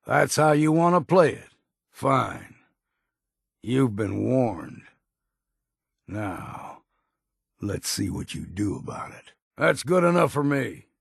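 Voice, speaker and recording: Gruff old island hunter guy, super gravelly n husky, folksy, stubborn and wary, dry close recording. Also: medium pitch, dry recording, exaggerated voice. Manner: folksy rustic speech with dropped grammar and ain't. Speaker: game character voice actor. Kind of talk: video game dialogue acting.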